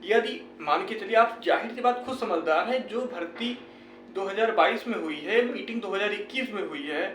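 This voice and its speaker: A man's voice: high voice, a bit bright. A man with a north Indian accent from Uttar Pradesh giving an informal, explanatory update to viewers, earnest and repeating phrases for emphasis. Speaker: YouTube host giving exam recruitment updates. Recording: roomy echo, distant mic, music behind.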